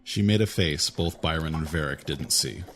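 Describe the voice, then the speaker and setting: A man narrating a fantasy audio drama with a steady, even storytelling manner. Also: rumbling low, normal speed, a little hiss or hum.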